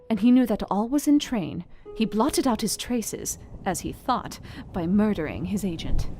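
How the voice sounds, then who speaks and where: Actress as clever young Victorian wife narrating a journal: composed, exact, formal, analytical. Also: female voice, mid-range voice, warm tone, lightly acted. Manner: measured, precise, formal and analytical. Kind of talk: dramatized scripted journal narration.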